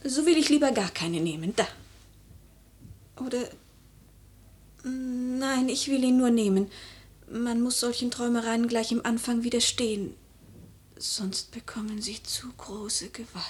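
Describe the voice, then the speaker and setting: Husky, high-voiced noble widow. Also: female voice, measured pace, long pauses. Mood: imperious, restless. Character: wistful, proud young noble widow, imperious with servants. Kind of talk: German literary radio play acting.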